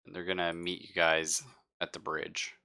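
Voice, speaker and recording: A man's voice: sits low, level tone, moderate pace, bright, forceful tone, clean tone. A man running a tabletop game as game master in casual, easygoing chat. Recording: live online game stream.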